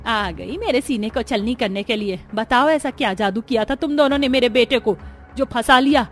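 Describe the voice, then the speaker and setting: A woman's voice: on the high side, moderate pace, faint music behind, natural voice. A woman playing a bossy, disapproving middle-aged mother-in-law in a cartoon family drama, scolding with sarcasm and drama. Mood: scornful, aggrieved.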